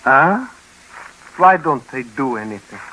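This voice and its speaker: Animated, baffled refugee carpenter. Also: male voice, mid-range voice. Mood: skeptical. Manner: halting, incredulous questioning. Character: baffled, skeptical refugee carpenter from Eastern Europe. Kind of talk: 1950s radio drama acting. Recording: noisy old 1951 radio recording.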